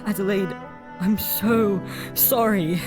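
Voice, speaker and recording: A woman's voice: mid-range voice, takes it slowly, over music, natural voice. A woman playing an apologetic, level-headed young friend in an audio drama, earnest and placating.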